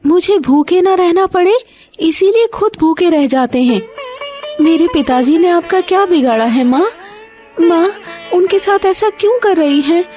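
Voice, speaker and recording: A woman playing a sweet, caring, devout young daughter in an animated moral story, with a childlike, earnest manner. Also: very high voice, a little slow, soft background music. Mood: tearful pleading.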